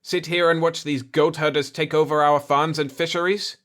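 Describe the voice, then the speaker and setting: A man's voice: fairly high pitch, flat delivery, normal speed, fairly bright tone, pitched above the performer's own voice, natural voice. Guy doing a grumbling blustery chief of state in a sci-fi story, indignant and itching for a fight. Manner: gruff, combative, complaining. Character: indignant, blustering cigar-chewing planetary chief of state, male. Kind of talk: dialogue in a sci-fi audiobook.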